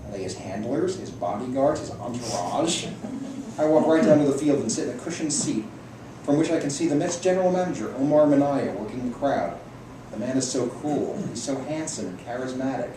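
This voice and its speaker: A man's voice: mid-range voice, animated, fairly quick. A man reading a personal essay aloud to an audience. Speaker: reader at a library book reading. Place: indoor room at a public library event. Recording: echoey room, distant mic, clear noise.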